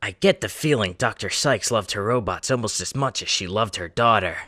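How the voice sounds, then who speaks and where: Casting: man as composed young attorney, lowish voice, dry close recording, game fan dub. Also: a little fast, bright, forceful tone, no echo. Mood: calm, probing.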